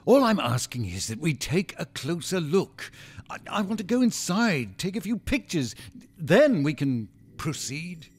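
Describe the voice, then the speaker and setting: An actor playing an excitable, pleading scientist professor in an audio drama. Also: male voice, a little high, a little slow, slightly rough, exaggerated voice. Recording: faint music behind the voice.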